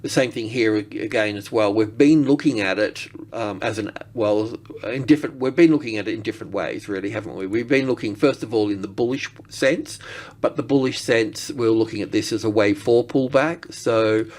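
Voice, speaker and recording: A man giving unscripted market analysis as he works through charts, rambling and halting as he thinks aloud. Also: on the low side, a little mellow.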